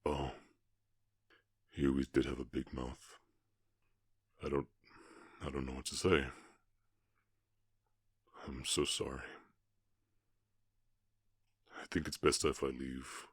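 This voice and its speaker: Western romance roleplay orc, male actor: Southern accent, rumbling bass, rough husky tone, unhurried and earnest, close dry sound. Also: level tone, dry recording, heavily acted. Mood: worried, regretful. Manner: halting, earnest, restrained. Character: gentle but savage male orc gunslinger, shy in love.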